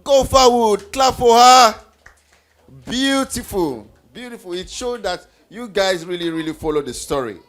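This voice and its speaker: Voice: medium pitch, expressive, measured pace, fairly bright tone. A quiz leader addressing a group of children live, encouraging them and repeating answers back to everyone. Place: live group event with children before a stage.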